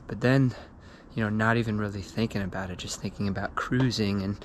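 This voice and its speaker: A man in his thirties, his voice going hoarse, talks in a loose, low-key way as he works through his thoughts on a run. He is recorded on a small action camera outdoors. Loud street sounds and traffic sit behind him. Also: fairly even intonation, brisk. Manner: low-key, thinking aloud. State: losing his voice. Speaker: running shoe reviewer. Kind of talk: casual vlog commentary. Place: outdoors on a porch and dark streets.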